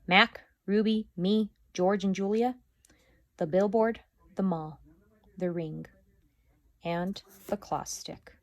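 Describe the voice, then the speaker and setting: A woman teacher reading a chapter book aloud to her class, with expressive, lively storytelling. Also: mid-range voice, takes it slowly, pauses often, dry recording.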